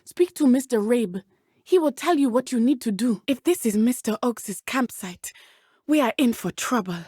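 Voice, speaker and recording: A woman with a southern African accent playing an earnest, brave teenage witch in a video game, speaking in measured, formal, precise diction. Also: a little high, animated, mellow, slightly rough, no room sound, studio quality. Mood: urgent, lively. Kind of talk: video game character dialogue.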